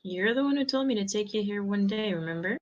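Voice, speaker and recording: A woman playing a shy, quietly teasing young man in an amateur audio fan fiction, in an understated, hesitant way. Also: medium pitch, expressive, fast talker, natural voice. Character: shy, smirking, easily embarrassed young man. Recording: slightly dull, lo-fi microphone.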